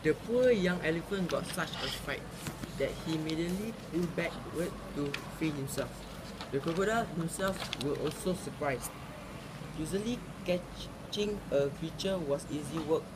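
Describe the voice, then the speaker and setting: A man's voice: a little high, normal speed. A man reading a folk tale aloud. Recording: clearly noisy recording with ambient sound.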